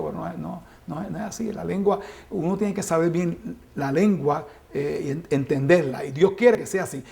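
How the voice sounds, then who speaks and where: A man's voice: a little high, vocal fry, warm tone. A middle-aged man teaching a Bible study, reading scripture aloud and then explaining it with rhetorical questions.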